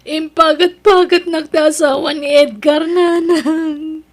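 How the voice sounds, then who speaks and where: A woman's voice: high-pitched, steady tone, takes it slowly. A woman playing a struggling single mother who sells vegetables on the street, plaintive, in an Ilocano-accented audio drama. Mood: tearful, pleading.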